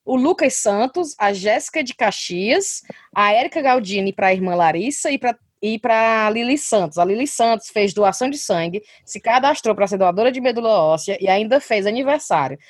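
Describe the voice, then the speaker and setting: Host, mid pitch, dry close sound, brisk list-reading. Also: female voice, lively intonation, dry recording. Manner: quick interjections, reads shout-out lists. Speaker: podcast co-host. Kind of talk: casual chat.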